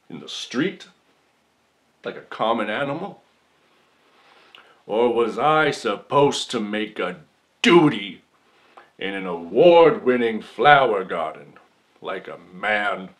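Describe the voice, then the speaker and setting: A man playing a folksy, shameless accused man who gives a mock-solemn, melodramatic courtroom monologue with long dramatic pauses, for comedy. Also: fairly low pitch, a bit bright. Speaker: podcast performer.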